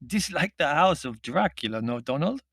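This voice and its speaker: A man voicing a tipsy, lecherous, gravely courtly Middle European man in an audiobook, with a heavy accent and intoning delivery. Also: fairly high pitch, big pitch swings, moderate pace, fairly bright tone, no room sound, studio quality, voice pushed up, heavily acted. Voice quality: heavy, sepulchral. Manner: intoning, doleful, florid.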